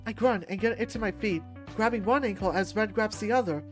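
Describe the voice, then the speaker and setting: A woman reads a visual novel aloud as its narrator. Her voice sits at a middle pitch, and music plays softly behind her. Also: brisk.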